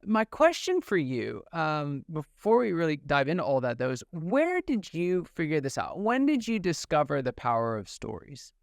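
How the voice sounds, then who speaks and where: A man's voice: fairly high pitch, wildly swooping pitch, moderate pace, gravelly, hardly any pauses, no room sound, studio quality. A man hosting a podcast, introducing his guest with enthusiasm. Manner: enthusiastic, upbeat. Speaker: podcast host.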